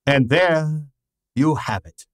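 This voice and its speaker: A man's voice: fairly high pitch, takes it slowly, no room sound, clean studio sound. A man playing a pompous, exacting private detective in an old-time radio drama, with formal, precise and theatrical diction. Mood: smug, explanatory.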